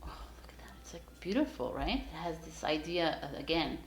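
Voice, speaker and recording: A woman's voice: low-pitched, lively intonation, normal speed, reverberant, whispered. A woman giving a casual, encouraging tarot reading straight to her viewers, rambling with many fillers and restarts. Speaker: tarot reader.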